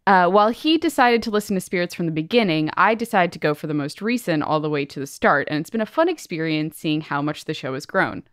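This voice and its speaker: A woman's voice: fairly low pitch, expressive, fast, hardly any pauses, fairly bright tone, dry recording. Woman podcast host, close dry sound. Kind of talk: casual podcast chat and host-read ads.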